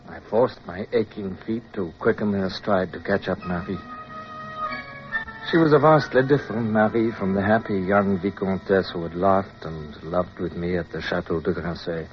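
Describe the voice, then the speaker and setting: An actor with an English accent playing a weary, dutiful naval officer who narrates in formal, measured style in a radio drama. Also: male voice, low voice, over music, close to an everyday voice. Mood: weary, reflective. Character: weary, dutiful British naval officer, resolute yet dispirited. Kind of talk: radio drama acting, first-person narration. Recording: dull, noisy vintage radio recording.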